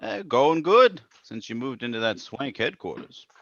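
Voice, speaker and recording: An actor playing a smug, crooked investment broker in an old-time radio drama, with a brash, slangy, chuckling manner. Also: male voice, mid-range voice, very animated, normal speed, fairly bright tone, muffled recording. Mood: smug, amused.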